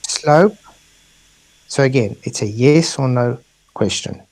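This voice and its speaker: A man's voice: mid-range voice, slow, a crowd in the background, low-quality recording. Insistent man pressing debate questions. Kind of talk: heated online debate.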